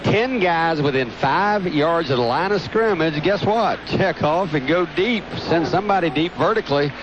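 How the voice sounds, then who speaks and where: A man's voice: fairly high pitch, expressive, normal speed. An American man giving blunt, colloquial football analysis on a live radio broadcast. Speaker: radio color commentator. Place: outdoor stadium broadcast booth. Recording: dull radio broadcast, loud stadium noise. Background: loud stadium ambience.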